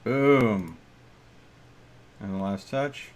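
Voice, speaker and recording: A man's voice: lowish voice, very slow. Man streaming a game over background noise. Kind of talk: casual live gameplay commentary.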